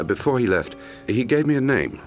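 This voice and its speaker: A man acting as an investigator who narrates his grim logbook in a measured, brooding way for a mystery game, in a gravelly voice. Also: very animated, natural voice. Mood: grave, recounting. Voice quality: very deep, gravelly. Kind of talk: scripted first-person narration for a mystery game. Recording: music under the voice. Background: music.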